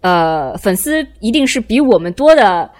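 A woman with a medium-pitched voice speaks as a podcast co-host. Her style is casual and conversational, the talk of an informal chat show. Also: sing-song, normal speed.

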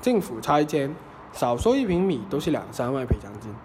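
A man's voice: mid-range voice, sing-song, brisk, a little mellow, slight background noise, natural voice. A man playing a smug, eager estate agent, boastful and salesman-like, in a scripted mock trial. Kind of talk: scripted audio drama.

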